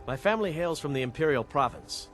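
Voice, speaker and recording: An actor playing a proud, guarded wandering warrior in a video game, speaking in a measured, plain way. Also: male voice, fairly high pitch, animated, a bit bright, faint music behind. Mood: reflective. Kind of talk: video game character dialogue.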